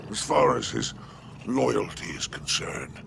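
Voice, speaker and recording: Gravelly, sly alien crime baron. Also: male voice, on the low side, very animated, a bit bright, a little hiss or hum, heavily acted. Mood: gloating, conspiratorial. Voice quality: gravelly, creaking growl. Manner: drawn-out, sardonic, dropping to breathy asides. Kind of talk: dramatic video game cutscene acting.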